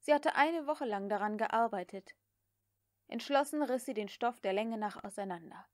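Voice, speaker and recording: A woman's voice: fairly high pitch, moderate pace, dry recording, clean studio sound. A woman reading literary prose as an audiobook narrator.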